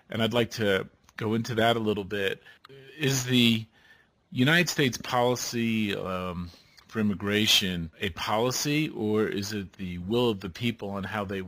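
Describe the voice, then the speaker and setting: A man hosting an interview, asking measured questions. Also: fairly low pitch, a bit bright, no echo. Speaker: interviewer, host.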